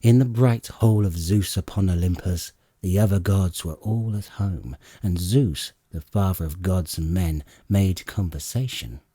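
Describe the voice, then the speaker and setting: Male audiobook reader for epic verse: dark, deep timbre, solemn and measured delivery, dramatic phrasing. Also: slightly rough, no room sound, clean studio sound. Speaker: audiobook narrator. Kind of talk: solemn epic poetry reading.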